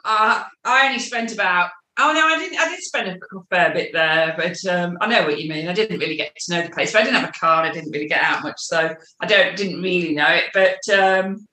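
Middle-aged woman, mixed northern English accent, bright forceful tone, casual chat in a roomy echo. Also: fairly low pitch, fairly quick, few pauses. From Cheshire, England.

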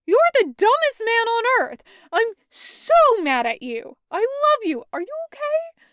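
A woman voicing a brash young man who swears and talks hysterically, loud and rushing. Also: fairly high pitch, expressive, clean tone, dry recording, studio quality. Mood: frantic.

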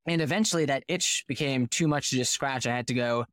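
A man in his thirties who grew up in Boston, a podcast guest and company founder telling his story in a fluent, animated way with rhetorical questions. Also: medium pitch, a little fast, no echo, studio quality. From United States (Boston). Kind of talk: casual interview storytelling.

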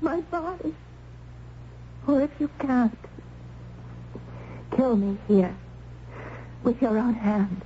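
Young doomed peasant maid, very high voice, pleading and fervent, on tinny old radio drama recording. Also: female voice, expressive, slight background noise. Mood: desperate pleading. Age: young adult. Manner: halting, fervent, theatrical.

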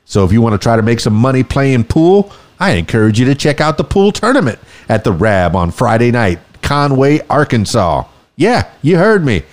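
A man hosting a podcast as a radio DJ, playful showman patter with big swings in pitch for emphasis. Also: very animated, moderate pace, few pauses. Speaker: podcast host and DJ. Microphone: studio mic.

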